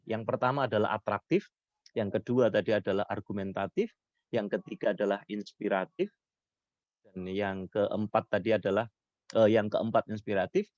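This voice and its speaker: A hoarse man, lecturing on public speaking and answering questions, lifting his voice high when he stresses points. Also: a little mellow, no echo. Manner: rising pitch when stressing points, mixes Javanese words. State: hoarse from illness. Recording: dull lo-fi sound.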